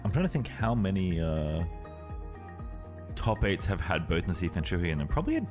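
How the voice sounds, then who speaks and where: A man's voice: deep voice, big pitch swings, a little fast, music in the background. A man co-streaming casual commentary on a card game tournament, hesitantly thinking aloud.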